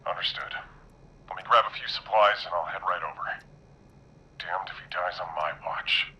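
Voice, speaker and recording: An actor playing a blunt, gruff former war medic over the telephone in a horror game. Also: male voice, deep voice, moderate pace, pushed and bright, poor audio. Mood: grave, resolved. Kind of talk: dramatic horror game voice acting.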